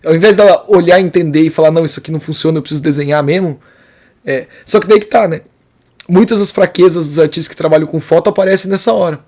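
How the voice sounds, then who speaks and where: A man's voice: fairly high pitch, fast, darkish tone. A man teaching an art class, talking casually and rambling a little, with slang and restarts. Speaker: art teacher giving feedback. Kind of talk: casual spoken art lesson.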